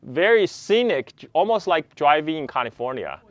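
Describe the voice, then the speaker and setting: Man in his forties, native Chinese speaker, slightly high voice with swooping pitch, relaxed anecdotal guest chat. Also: normal speed, dry recording. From Chongqing, China, moved to the United States at thirteen. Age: 40s. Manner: animated storytelling, chuckling often. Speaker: live stream guest. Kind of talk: casual chat with personal anecdotes. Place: outdoors on the Great Wall.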